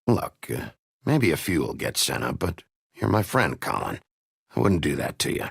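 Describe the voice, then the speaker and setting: An actor playing a gruff, blunt, hard-boiled sheriff in a dramatic video game, with a gravelly, husky voice. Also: male voice, very deep voice, very animated, normal speed, full character voice. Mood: reluctant, reassuring. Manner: blunt, confrontational.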